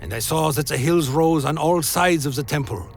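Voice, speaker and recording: An actor playing a weary undead vampire wanderer, telling his journey in solemn, literary phrasing for a dark fantasy radio play. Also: fairly high pitch, normal speed, creaky, a little hiss or hum. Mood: solemn, brooding. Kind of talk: dramatic first-person scripted narration, dark fantasy radio play. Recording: music behind the voice.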